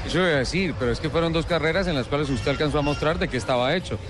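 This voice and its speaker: A man, a motorsport journalist interviewing drivers at a show, joking and lively. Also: fairly high pitch. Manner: jovial, joking, fast-talking questioner. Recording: music playing behind.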